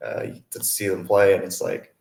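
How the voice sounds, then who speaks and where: A college athlete, a man in his twenties, answers interview questions casually. His voice is very low and he comes across as easygoing and mellow. The sound is roomy and echoing. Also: level tone, a little fast, gravelly. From Hawaii, USA. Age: 20s. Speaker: college baseball player, interview guest.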